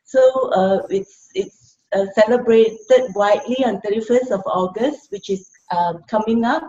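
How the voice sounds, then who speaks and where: A woman's voice: a little high, unhurried, mellow. A woman with a Malaysian accent making casual impromptu remarks, hesitant and repeating her words. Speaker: meeting participant. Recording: muffled, echoey, noisy video call.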